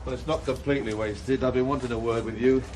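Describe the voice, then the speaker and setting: A man's voice: mid-range voice, fairly even intonation, fast, darkish tone, slight background noise, close to an everyday voice. A man playing a sardonic police officer who makes veiled threats, with a Welsh accent, in a 1980s radio drama. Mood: menacing.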